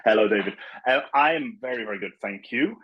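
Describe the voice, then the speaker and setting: A man's voice: mid-range voice, fairly quick, husky, dull audio. A man in his thirties from Liverpool with a Liverpool accent, a guest telling stories at home over a video call. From Liverpool, England. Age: 30s. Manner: relaxed, storytelling, with stammered restarts. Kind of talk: casual video-call interview.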